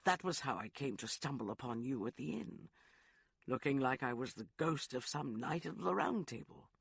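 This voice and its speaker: A man voicing a remorseful young gentleman in an audiobook, soft, hesitant and apologetic. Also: medium pitch, lively intonation, moderate pace, vocal fry, breathy edge, no room sound, studio quality, full character voice. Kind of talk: audiobook character voice.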